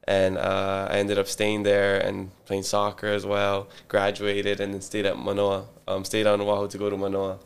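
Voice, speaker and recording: A man's voice: on the low side, completely flat delivery, normal speed, gravelly, few pauses, clear, resonant tone. A man in his thirties from Hawaii, a native Hawaiian speaker, chatting easily as a podcast guest and laughing often. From Hilo, Hawaii. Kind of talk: casual interview chat.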